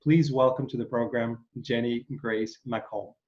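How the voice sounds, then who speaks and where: A male interview host whose voice is going, so he keeps clearing his throat. He sounds welcoming and rambles with lots of fillers, at an ordinary pitch. The recording is dull and lo-fi. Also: fairly even intonation, measured pace, a little mellow. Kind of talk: casual interview chat.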